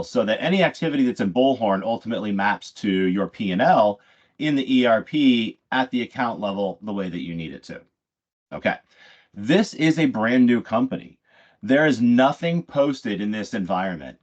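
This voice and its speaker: Guy doing a product demo, lowish voice, conversational explaining w little pauses, kinda dull lo-fi sound. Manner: explanatory, conversational, pausing mid-phrase. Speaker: software director giving a product demo. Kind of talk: live software demo presentation. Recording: dull, muffled lo-fi audio.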